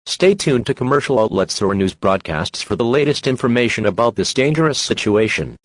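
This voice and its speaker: A man's voice: mid-range voice, lively intonation, a little fast, heavy background noise. A man narrating a scripted emergency warning as an alert announcer.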